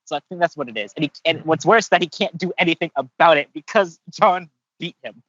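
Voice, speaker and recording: A man's voice: fairly high pitch, a little fast, fairly bright tone, dry recording. Man thinking aloud on a muffled mic. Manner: hesitant, thinking aloud, many restarts. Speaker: podcast co-host and voice actor. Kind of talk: casual podcast chat.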